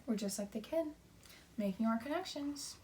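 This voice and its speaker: A woman with a fairly high voice teaches in an interactive read-aloud style for children. She explains things simply and checks in with questions. The microphone sits a little way off. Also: expressive, moderate pace, darkish tone, distant mic, a little hiss or hum.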